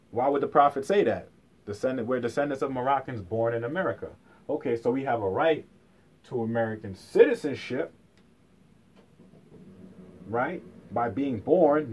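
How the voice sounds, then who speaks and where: A man's voice: medium pitch, breathy edge. A man giving an informal explanatory lecture in colloquial speech, emphatically repeating key phrases and asking rhetorical questions.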